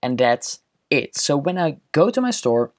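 A man walking through a software setup step by step in a screen-recorded tutorial, steady and explanatory. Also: on the high side, unhurried.

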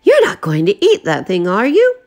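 A woman voicing a heavy, self-pitying Yankee trader man who groans and complains loudly. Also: on the high side, big pitch swings, moderate pace, pitched above the performer's own voice. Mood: aggrieved.

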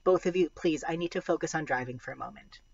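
A man's voice: on the high side, brisk, no room sound, dull audio, natural voice. A man voicing a tense, weary mother from a storybook, caring but short on patience. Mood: tense, tired. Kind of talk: storybook read aloud.

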